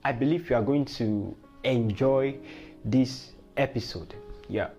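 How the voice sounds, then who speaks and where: A man's voice: medium pitch, measured pace. A man teaching a language lesson, patiently repeating words and checking whether viewers follow. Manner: patient, repetitive teaching with quiz questions. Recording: dull lo-fi sound with faint guitar music.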